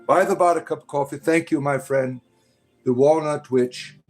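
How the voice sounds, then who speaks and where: A man's voice: fairly high pitch, normal speed, soft background music. A man in his late forties hosting a livestream, rambling loosely and reading out viewer comments, often ending with "right?". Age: 40s. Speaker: livestream host.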